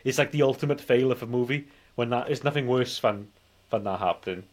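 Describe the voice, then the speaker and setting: Rambling man with a medium-pitched voice. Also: fairly quick. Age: 40s. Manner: rambling, repeats himself. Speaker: film review podcast host. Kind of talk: casual chat.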